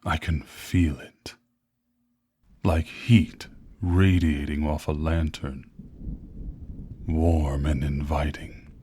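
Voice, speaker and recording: A man playing a covetous demon lord of greed, a taunting, ominous tempter in an ASMR roleplay, with a very deep husky voice. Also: fairly even intonation, drawn-out words, long pauses, dark tone, dry recording, exaggerated voice. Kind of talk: ASMR roleplay acting, theatrical villain.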